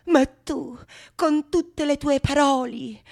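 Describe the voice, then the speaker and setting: A woman's voice: high voice, slow pace, darkish tone, pitched above the performer's own voice. A woman voicing an indignant, coy married woman who protests melodramatically and shrilly in a radio theatre play. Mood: outraged threats. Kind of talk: stage acting in radio theatre.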